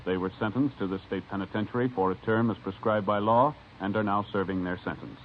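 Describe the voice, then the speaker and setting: A man's voice: lowish voice, steady tone, fairly quick. An American man announcing formally from a script in 1950s radio style. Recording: tinny vintage radio recording.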